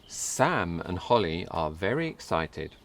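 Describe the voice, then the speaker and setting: Slow-reading, low-voiced man telling a children's story. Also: sing-song. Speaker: storybook reader. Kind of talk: scripted children's story narration.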